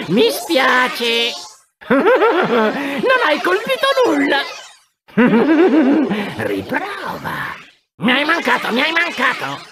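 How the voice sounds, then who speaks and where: A man's voice: very high voice, wildly swooping pitch, very slow, over loud music, full character voice. A man voicing a small, manic evil cyborg scientist in a cartoon video game, hysterical and theatrical, gloating and panicky. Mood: taunting. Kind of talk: cartoon video game acting, short barks.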